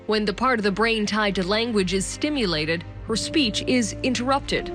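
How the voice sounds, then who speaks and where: A woman narrating a news feature in a measured, scripted reporting style. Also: medium pitch. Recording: voice-over with music underneath.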